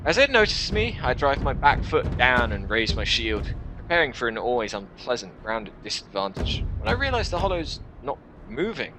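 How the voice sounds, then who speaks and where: A man's voice: mid-range voice, very animated, normal speed, few pauses, noisy background. A man hosting a gaming video, reading visual novel text aloud with dramatic narration and wry, incredulous asides.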